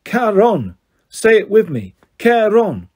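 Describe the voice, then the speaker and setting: Man playing a smooth, menacing British gatekeeper, pitched up. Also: sing-song, normal speed, darkish tone. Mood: smooth condescension. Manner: smooth, condescending. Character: tall elegant sly, greedy male underworld gatekeeper, smooth and menacing.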